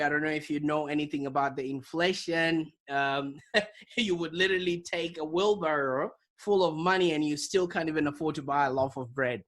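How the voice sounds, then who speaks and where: A man from Zimbabwe, an interview guest telling his story in a lively, animated way over a video call. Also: on the high side. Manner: animated, vivid storyteller with repetitions. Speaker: guest, digital marketing business owner.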